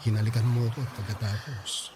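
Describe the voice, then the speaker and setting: Man voicing a soothing young guy, talks fast, noisy background, radio drama. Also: lowish voice, steady tone, lightly acted. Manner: patient, explaining, soothing. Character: young man, calm and reassuring.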